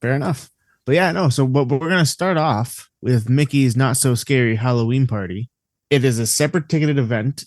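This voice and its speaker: A man's voice: medium pitch, a little fast, darkish tone, no echo, clean studio sound. A man co-hosting a casual podcast, talking eagerly and bluntly and cursing casually. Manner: enthusiastic, blunt, swears casually. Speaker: podcast co-host.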